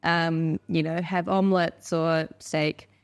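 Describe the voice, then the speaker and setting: Low-pitched New Zealand woman chatting casually. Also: level tone, slow pace, clean tone. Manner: informal, rambling, acts out quoted dialogue. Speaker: podcast host. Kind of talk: casual chat, anecdotal.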